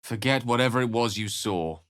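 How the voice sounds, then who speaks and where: An actor playing a learned, courteous and wry wizard with a touch of melancholy, speaking in articulate, theatrical phrases for a video game. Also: male voice, mid-range voice, normal speed, a bit bright, smooth, no room sound, studio quality, natural voice. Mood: troubled. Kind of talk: video game character lines and narration.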